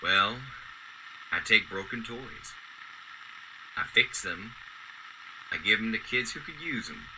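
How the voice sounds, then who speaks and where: An actor playing a gentle, earnest, plain-spoken working man in a radio drama. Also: on the low side, animated, slow, long pauses, noisy background. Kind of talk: golden-age radio drama acting.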